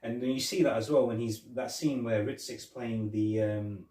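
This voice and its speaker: A man's voice: mid-range voice, fairly even intonation, normal speed, dark tone, distant mic, a little hiss or hum. A man co-hosting a casual movie review podcast. He rambles, restarting and repeating his words. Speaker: podcast co-host. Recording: echoey room, off-mic.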